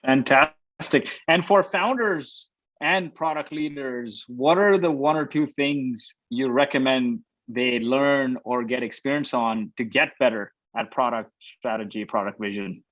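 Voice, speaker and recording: A man's voice: mid-range voice, a little slow, fairly bright tone, no echo, highs cut off. A man hosting a business interview, introducing a guest and asking questions. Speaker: interview host.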